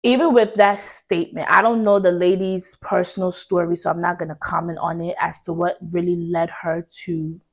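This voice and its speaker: A woman's voice: on the low side, level tone, moderate pace, vocal fry, few pauses. A young woman in a casual podcast discussion, talking in slang and arguing her point assertively. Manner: slangy, assertive, devil's advocate.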